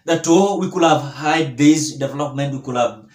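A man's voice: a little high, normal speed, a little mellow. A young man with a Kenyan accent gives emphatic political commentary to camera, repeating phrases for weight. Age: young adult. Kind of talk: direct-to-camera news commentary. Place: small bare-walled room indoors. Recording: echoey small room.

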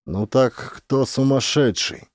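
A man's voice: mid-range voice, takes it slowly, no echo, voice pushed up, close to an everyday voice. Man acting a terse, hard-bitten space major. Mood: grim, wry. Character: hard-bitten, urgent male space officer, wry.

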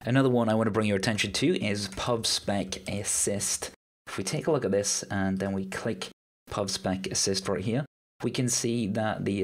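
Man with a cold explaining code. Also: on the low side. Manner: relaxed walkthrough narration, explaining step by step. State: has a cold, losing his voice a little. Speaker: programming tutorial host. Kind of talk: screen-recorded coding tutorial. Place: voice-over of a screen recording.

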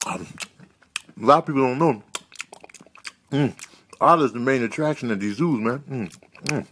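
A man voicing a smug, relaxed otter who boasts in slang between little munching hums, in a comic animal voice-over. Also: medium pitch, normal speed, slight background noise, natural voice. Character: smug, relaxed otter, zoo's self-proclaimed star.

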